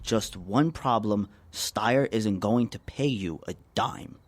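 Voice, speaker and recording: A man's voice: fairly low pitch, slow pace. An actor playing a slick, needling executive held captive, sly and persuasive as he negotiates. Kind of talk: audio drama acting.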